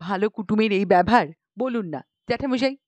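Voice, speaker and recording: A woman's voice: medium pitch, expressive, fairly quick, a little mellow, dry recording, natural voice. A woman voicing an aggrieved, bossy young matron in an audiobook story, chatty and indignant, full of rhetorical questions. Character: aggrieved, bossy young matron airing grievances about in-laws.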